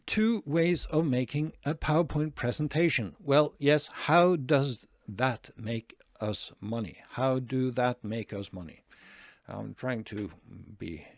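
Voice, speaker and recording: Slow, halting Norwegian man. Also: mid-range voice, no echo, clean studio sound. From Norway. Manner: hesitant, many fillers and restarts. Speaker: online marketing tutor. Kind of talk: screen-share tutorial.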